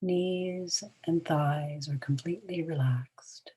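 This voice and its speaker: A woman guides a relaxation meditation in a low, breathy voice. She speaks very slowly in short, soothing phrases with long pauses, often softening toward a whisper at the ends. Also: low-quality recording.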